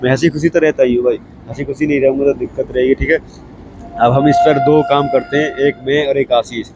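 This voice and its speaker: Hoarse young man vlogging at a station. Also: medium pitch, fairly even intonation, fairly quick, heavy background noise. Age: young adult. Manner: chatty and repetitive, keeps saying okay. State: hoarse from a cold. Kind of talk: casual vlog talk to camera. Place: railway station platform, outdoors. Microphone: clip-on mic.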